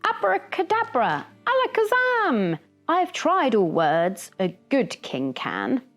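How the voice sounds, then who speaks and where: A woman voicing a loud, pompous storybook king in a children's tale, with music behind. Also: sits high, huge pitch swings, takes it slowly, voice pushed up, heavily acted. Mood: commanding, frantic. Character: blustering, rude king turned apologetic, storybook adult man.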